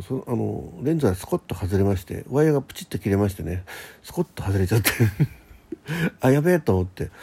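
A man's voice: on the low side, gravelly, mellow, breathy edge. A man hosting a casual solo radio talk in a rambling, conversational manner. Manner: rambling, conversational, acts out quoted exchanges.

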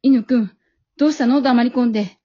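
A woman's voice: a little high, brisk, close to an everyday voice. A woman playing an earnest, exasperated young boy hero with a scolding, pleading manner, in an amateur comedy radio drama. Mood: flustered, scolding. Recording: dull lo-fi audio.

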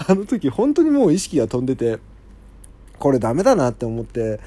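A man's voice: mid-range voice, huge pitch swings, normal speed, mellow. A man hosting a solo show, giving a casual personal update to listeners in a polite, conversational manner. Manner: polite, apologetic, conversational with frequent agreeing asides. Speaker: solo audio show host.

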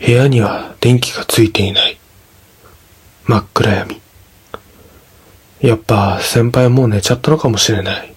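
A man narrating a scripted ghost story in a measured, dramatic storytelling manner. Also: mid-range voice, husky.

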